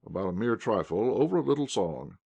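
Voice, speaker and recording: A man's voice: lowish voice, very animated, a little fast, creaky, darkish tone, breathy edge, heavily acted. A man voicing an insolent young officer who speaks coolly and with a sneer. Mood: sly. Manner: cool, sneering.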